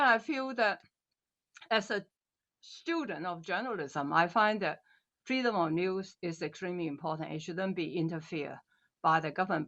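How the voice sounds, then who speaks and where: A woman's voice: medium pitch, fairly bright tone, highs cut off. An older woman from Hong Kong with a Cantonese accent, a politician guest telling her story earnestly in an interview; her first language is Cantonese.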